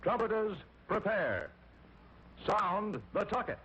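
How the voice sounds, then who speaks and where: A man's voice: high voice, very animated, slow, bright, forceful tone, slightly rough, voice pushed up, heavily acted. A man voicing an obedient master trumpeter, a military herald calling out formal orders in a vintage children's cartoon. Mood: dutiful, commanding. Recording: dull, noisy vintage cartoon soundtrack.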